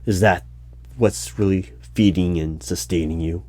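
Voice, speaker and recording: An unflappable male hiring manager, acted by a man, conducts a roleplay interview in a deep, soft-edged voice. He is very slow and deliberate, dryly weighing each halting question. Keyboard typing and clicks sit behind him. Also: steady tone. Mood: dry, probing. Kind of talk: one-sided ASMR roleplay job interview. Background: computer keyboard typing and clicks.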